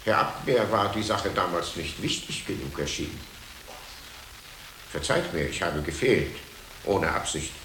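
An actor playing a dutiful, guardedly humble medieval monk librarian in a radio drama, giving formal, deliberate testimony. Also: male voice, low voice, animated, a bit bright, full character voice. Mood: contrite. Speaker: monastery librarian in a radio play. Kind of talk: German radio drama acting. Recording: roomy echo, faint background noise.

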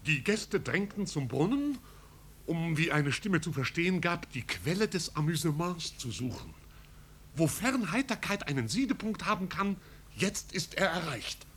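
An actor playing a pompous, dutiful servant of a marquis in a 1950s radio play, speaking in stilted, long-winded, ceremonious phrases. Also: male voice, a little high, very animated, normal speed, fairly bright tone. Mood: indignant reporting. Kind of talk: 1950s German radio drama acting. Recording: 1950s radio recording with hiss.

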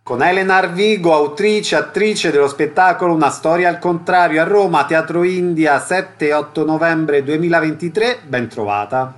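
A man's voice: fairly high pitch, moderate pace, hardly any pauses. A man working as a radio host, announcing cultural events and introducing guests.

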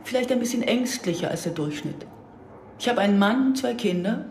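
A woman's voice: on the high side, lively intonation, a little fast. Echoey plainspoken mother. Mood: matter-of-fact, uneasy. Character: slightly anxious Israeli mother and wife. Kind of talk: radio drama monologue. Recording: echoey room, faint noise.

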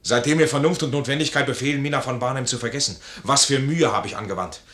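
Tense, roomy-voiced proud wounded officer played by an actor. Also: male voice, mid-range voice, quick pace, fairly bright tone, lightly acted. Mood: bitter, proud. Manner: formal, anguished, declamatory. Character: proud, wounded, discharged army officer, honourable and tormented. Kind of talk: 1950s German radio play acting. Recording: roomy old 1950s radio studio recording.

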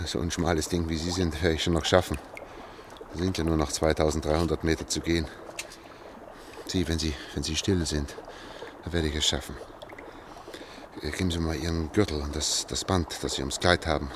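An actor playing a desperate, agitated engineer on the run in a German radio drama, halting and repeating himself as he pleads. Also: rumbling low, little pitch movement, background noise. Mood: grimly determined. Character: desperate, agitated male engineer fleeing underground.

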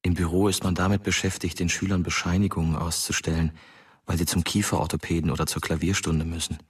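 Very low, brooding male narrator. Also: little pitch movement, fast talker, husky, distant mic. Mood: brooding, quiet. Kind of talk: hushed scripted radio drama narration.

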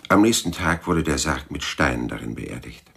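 Very deep gravelly private eye. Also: male voice, animated, moderate pace, a bit bright, heavily acted. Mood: coolly accusing. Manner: dry, laconic. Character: weary cynical male private detective narrating his own case. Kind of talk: radio play narration and dialogue.

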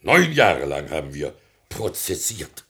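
Pompous, deep-voiced old mayor. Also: male voice, sing-song, measured pace, sharp tone, exaggerated voice. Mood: proud, declamatory. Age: older. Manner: pompous, declamatory, blustering, stammering officialese. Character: pompous, self-important elderly small-town mayor and father. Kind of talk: theatrical radio comedy acting.

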